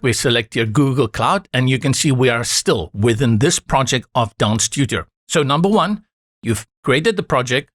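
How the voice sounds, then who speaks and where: A man whose first language is Afrikaans, calmly guiding viewers step by step through a software setup in a screen-recorded tutorial. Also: medium pitch, no room sound, clean studio sound. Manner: calm, instructive, reassuring asides.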